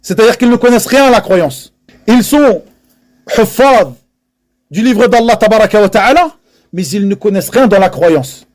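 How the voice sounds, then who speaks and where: High-pitched guy preaching a lesson, earnest, repeats himself for emphasis, drops arabic phrases in. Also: expressive, normal speed. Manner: mixes Arabic religious phrases into French. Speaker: religious teacher. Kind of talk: religious lesson to a live audience.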